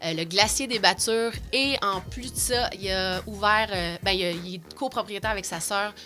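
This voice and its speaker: A woman's voice: mid-range voice, normal speed, bright, forceful tone. Québécois woman co-host with faint music. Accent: Québécois French. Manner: hesitant, lots of fillers. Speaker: podcast co-host. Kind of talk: casual interview chat. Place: podcast studio.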